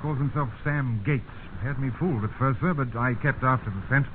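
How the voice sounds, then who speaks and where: An actor playing a dutiful, sceptical British junior army officer, formal and deferential, in 1950s radio drama style. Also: male voice, mid-range voice, brisk, lightly acted. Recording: tinny, noisy 1950s radio recording.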